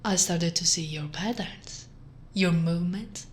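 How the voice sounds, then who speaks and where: Woman acting slow, teasing, smug female sheriff. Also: fairly low pitch, slight background noise, close to an everyday voice. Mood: wistful, confiding. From Italy. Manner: sultry, teasing, breathy drops into whispers. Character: teasing, smug, flirtatious female sheriff gloating over captive. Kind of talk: ASMR roleplay, scripted acting.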